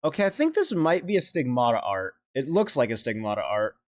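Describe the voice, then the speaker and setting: A man's voice: medium pitch, sing-song, brisk, slight background noise. A man giving casual, off-the-cuff reaction commentary as a video host, rambling and hesitant as he thinks aloud.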